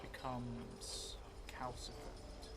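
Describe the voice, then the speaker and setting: A middle-aged man improvising narration for a solo tabletop game, thinking aloud thoughtfully in halting, short phrases. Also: mid-range voice, monotone, heavy background noise. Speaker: solo role-playing streamer. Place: indoor room set up for streaming, seated at a table. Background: music.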